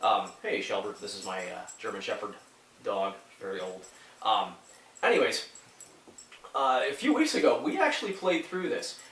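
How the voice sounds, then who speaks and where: A man's voice: fairly low pitch, big pitch swings, normal speed, fairly bright tone, distant mic. A man with a head cold and a stuffy voice, talking casually and rambling, with lots of fillers and restarts. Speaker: YouTuber reviewing retro games. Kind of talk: casual talk to camera. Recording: echoey room, a little off mic.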